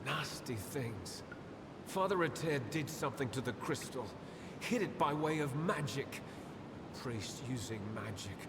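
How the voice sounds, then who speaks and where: A man acting a fervent, obsessed young acolyte in a video game, speaking with dreamy, halting reverence. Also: expressive, vocal fry. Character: obsessed young acolyte, crystal-mad killer, fervent and haunted. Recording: long cavernous reverb, loud rumbling noise.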